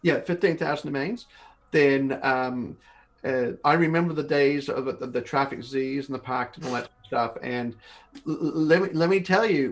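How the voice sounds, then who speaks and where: A man in his fifties, born in Australia and raised in northern Canada, hosting a live webinar and explaining things step by step in a conversational way, often repeating words. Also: mid-range voice. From born in Australia, grew up in northern Canada. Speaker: company host of an online Q&A session. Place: home office, indoors. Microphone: video call.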